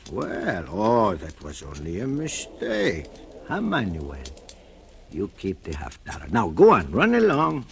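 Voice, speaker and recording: An older man, acting a gentle fatherly shoemaker and narrating in an old radio drama, with soft chuckles. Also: lowish voice, wildly swooping pitch, unhurried, gravelly, a little hiss or hum.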